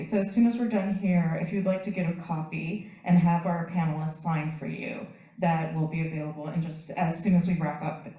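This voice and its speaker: A woman's voice: lowish voice, warm tone. A woman moderator running the question session and making announcements to the audience. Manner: friendly, organizing announcements. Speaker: event moderator. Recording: distant voice in a very echoey hall.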